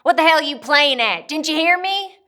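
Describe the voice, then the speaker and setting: A woman's voice: sits high, lively intonation, normal speed, sharp tone, voice pushed up. A woman voicing a volatile, paranoid drunken father who badgers and repeats himself. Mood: enraged. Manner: badgering, repetitive.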